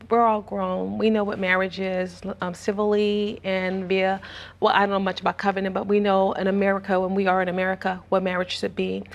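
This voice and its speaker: Brief: woman in a TV courtroom, quiet and even, little pitch movement. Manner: soft-spoken, measured, with hurt complaints. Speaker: litigant on a court show. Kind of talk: courtroom testimony.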